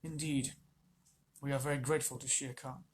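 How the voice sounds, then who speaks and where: A reader voicing a wise mother wolf from a storybook, quiet and measured. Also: mid-range voice, little pitch movement, breathy edge, natural voice. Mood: calm. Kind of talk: storybook reading.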